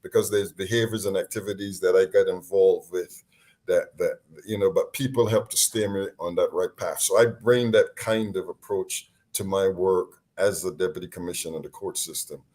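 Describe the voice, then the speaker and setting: Guest: man from Barbados, lowish voice, earnest and animated, remote call line. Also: normal speed. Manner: earnest, animated, frequent restarts. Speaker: deputy court commissioner, guest. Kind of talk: casual interview on a call.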